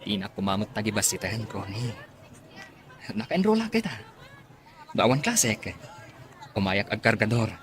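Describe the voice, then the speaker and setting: An actor with an Ilocano accent playing an earnest, lovestruck young porter and student in a radio drama. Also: male voice, on the low side, sing-song, moderate pace, long pauses. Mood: earnest, smitten. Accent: Ilocano, northern Philippines. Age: young adult.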